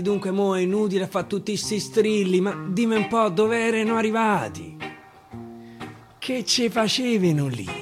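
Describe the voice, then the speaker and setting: A male stage actor with a Roman accent recites dialect verse theatrically, swinging from hushed to loud. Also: fairly high pitch. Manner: dramatic, swinging from hushed to shouting. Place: radio studio. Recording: clear guitar music underneath. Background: plucked guitar accompaniment.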